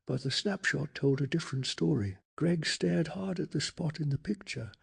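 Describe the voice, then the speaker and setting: A man softly narrating a bedtime story in a hushed, slow and deliberate ASMR style, with a breathy, gravelly voice. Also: fairly high pitch. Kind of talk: soft-spoken ASMR scripted story narration.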